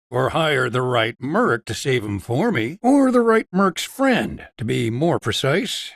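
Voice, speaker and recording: An actor playing a wry, folksy small-town doctor in a video game, with a Southern US accent and an easygoing drawl. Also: male voice, high-pitched, a bit bright, dry recording, clean studio sound. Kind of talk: character dialogue in a video game.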